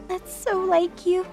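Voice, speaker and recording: Girl voicing sad stern winged gunner lady, super high voice, music behind. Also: gravelly, darkish tone, full character voice. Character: young female winged gunner, wounded pride, stern, sad. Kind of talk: audio drama acting.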